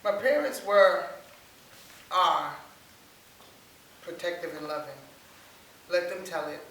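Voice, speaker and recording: Bright-voiced woman, low-pitched, in an echoey room. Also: expressive, takes it slowly, vocal fry. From Long Island, New York. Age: 40s. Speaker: stage reader telling a life story. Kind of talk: rehearsed dramatic monologue reading. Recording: very echoey room, distant mic, faint hiss.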